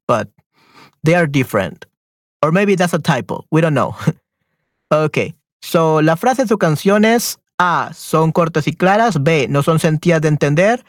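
A man's voice: a little high, sing-song, measured pace, no room sound, studio quality. A man teaching a Spanish lesson to an online group, reading quiz questions aloud and switching often between Spanish and English, repeating his affirmations. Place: online class, talking to students.

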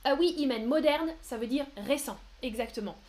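A woman's voice: high-pitched, a little mellow. A young woman hosting a live French lesson, patiently answering viewers' questions from the chat and spelling out examples. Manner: slow, clear and patient, often reading chat names aloud.

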